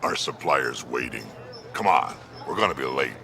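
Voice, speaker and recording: A man playing a gruff, blunt old alien mercenary in a video game, with a gravelly voice and curt delivery. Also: extremely low pitch, animated, moderate pace, sharp tone, full character voice. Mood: gruff, dry. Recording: clear background vehicle and ambient noise.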